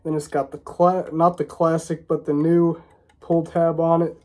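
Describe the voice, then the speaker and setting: A man's voice: fairly high pitch, little pitch movement, unhurried, a little mellow. A young American man with a stuffy, congested voice from a cold, chatting casually to camera as a reviewer in a small room. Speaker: vlogger reviewing drinks.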